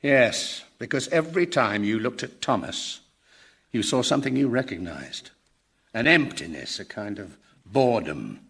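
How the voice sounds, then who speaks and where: An earnest professor in a radio comedy, probing and moralising. His voice is gravelly and husky, with lively rises and falls. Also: medium pitch, moderate pace, full character voice. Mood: earnest, persuasive. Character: earnest, analytical, moralising male professor in hell. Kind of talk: radio sitcom acting.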